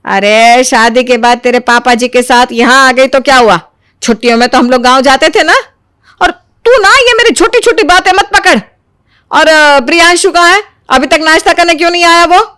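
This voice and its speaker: Woman acting nagging, high-voiced mother-in-law. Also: moderate pace, pushed and bright, no room sound, studio quality. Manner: scolding, complaining, exaggerating. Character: nagging, domineering middle-aged mother-in-law in a family. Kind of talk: Hindi animated moral story acting.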